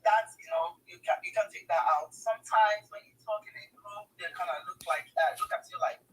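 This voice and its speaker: A woman's voice: medium pitch, huge pitch swings, fairly quick, distant mic, poor audio. A woman from Nigeria with a Nigerian accent speaks casually and emphatically over a video call, often repeating words and addressing her listeners directly. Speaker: guest sharing her migration story. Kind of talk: casual advice to international students.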